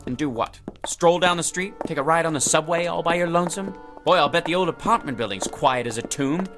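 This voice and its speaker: A man's voice: on the high side, a little fast, fairly bright tone, soft background music, close to an everyday voice. An actor playing a man's conjured double, a sardonic, chummy alter ego who goads and wisecracks in audio drama style. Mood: teasing. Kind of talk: theatrical audio drama acting.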